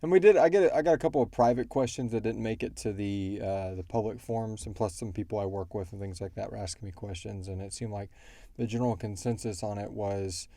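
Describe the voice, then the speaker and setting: A man's voice: lowish voice, a little fast, dark tone, no room sound. A man hosting a casual question-and-answer podcast, talking in an informal, joking, slangy way. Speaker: podcast host and show creator. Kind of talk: casual Q&A discussion.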